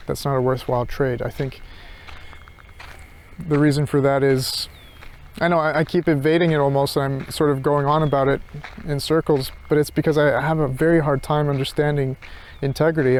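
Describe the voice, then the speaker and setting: A man's voice: mid-range voice, a little mellow, slight background noise. A man thinking aloud in an unscripted reflective monologue, hesitant and searching, often circling back over his phrases. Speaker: philosophy vlogger.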